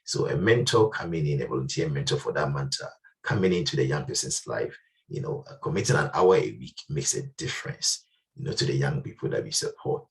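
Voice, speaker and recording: Very deep gravelly man, far off-mic. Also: expressive, a little fast. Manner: hesitant, many ums and you-knows. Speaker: charity presenter. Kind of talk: online presentation. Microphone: video call.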